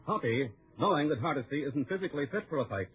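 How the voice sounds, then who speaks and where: An actor narrating an old-time radio western in a dramatic scripted style. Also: male voice, a little high, expressive, fast talker, natural voice. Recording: tinny old radio recording with music.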